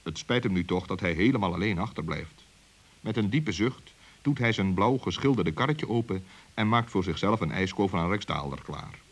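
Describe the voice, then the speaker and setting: Expressive, husky male children's storyteller. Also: low-pitched, moderate pace. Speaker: storyteller, narrator. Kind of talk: scripted children's story narration.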